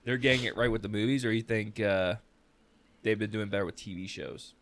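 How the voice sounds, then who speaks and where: A man with a gravelly, fairly low voice in relaxed podcast conversation. He is informal and tends to put questions to the others. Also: fairly quick. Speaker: podcast panelist. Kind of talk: casual chat.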